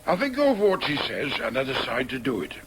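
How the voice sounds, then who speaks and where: An actor playing a plain-spoken Northern English working man, telling his story in a deliberate radio monologue. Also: mid-range voice, huge pitch swings, fairly bright tone, slight background noise, low-quality recording. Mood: matter-of-fact recounting. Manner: matter-of-fact, deliberate. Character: plain-spoken former maintenance man recounting a confession. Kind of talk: first-person dramatic monologue.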